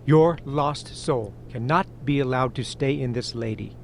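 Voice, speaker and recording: An actor playing a stern exorcist priest in a horror radio drama, solemn and commanding. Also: male voice, mid-range voice, a little slow, creaky, slight background noise.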